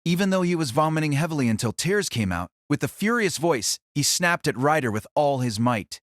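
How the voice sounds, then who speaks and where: Male narrator for fantasy audio drama, slightly high voice, scripted dramatic reading, dry close recording. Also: very animated, brisk, no room sound.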